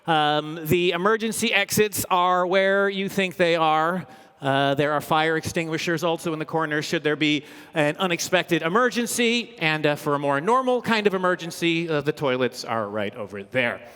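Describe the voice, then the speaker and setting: A man's voice: a little high, moderate pace, clean tone. Upbeat male event host in echoey hall. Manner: upbeat, energetic, joking. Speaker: comedian hosting a corporate event. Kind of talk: humorous live stage presentation. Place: large indoor event hall, live audience. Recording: echoey big hall, long reverb.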